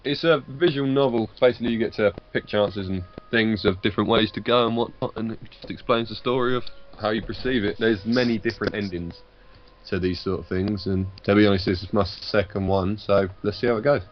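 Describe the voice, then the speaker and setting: A man's voice: fairly low pitch, steady tone, a little fast. A man chatting casually as a co-player in a gaming session. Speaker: guest co-player. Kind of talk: casual chat during a game. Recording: muffled lo-fi mic with background noise.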